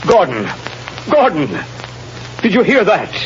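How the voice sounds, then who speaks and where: A man's voice: high voice, huge pitch swings, takes it slowly, full character voice. An actor playing a stern but sympathetic prison warden in an old radio drama, firm in his commands. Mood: startled, relieved. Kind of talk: 1930s radio drama acting. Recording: dull vintage radio broadcast, faint noise.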